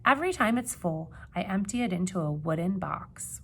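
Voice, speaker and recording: A woman reading a children's picture book aloud like a teacher, with expressive emphasis and questions put to young listeners. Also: fairly low pitch, a little hiss or hum. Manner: expressive, dramatic gasps and emphasis, pausing to ask questions.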